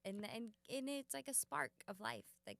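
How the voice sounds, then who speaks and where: A woman chatting casually on a podcast, warm and affirming toward her partner. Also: fairly high pitch, normal speed, gravelly, no echo. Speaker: podcast co-guest, event co-founder. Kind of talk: casual chat.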